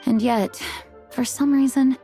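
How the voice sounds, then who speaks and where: A woman's voice: sits high, fairly even intonation, unhurried, warm tone, soft background music. A woman playing a dutiful, composed young knight leader in a video game, speaking formally and earnestly. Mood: pensive.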